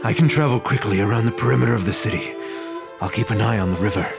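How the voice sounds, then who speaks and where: An actor playing a weary, troubled, brooding adventurer in a monster radio drama, with a low, gravelly voice. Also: male voice, fast talker. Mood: subdued. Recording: loud music bed behind voice.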